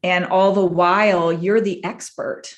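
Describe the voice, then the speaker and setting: Woman from massachusetts, kinda low voice, thoughtful and earnest, guest on an interview. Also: steady tone, dull audio. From Massachusetts, USA. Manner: reflective, earnest, measured. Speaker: guest, clinician. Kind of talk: personal storytelling in an interview.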